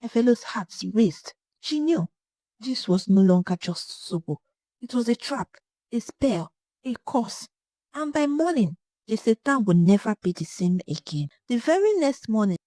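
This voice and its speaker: A woman narrating a scripted folktale in a dramatic, suspenseful way, with short measured phrases. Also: fairly high pitch, dark tone, no echo, studio quality. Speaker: storyteller.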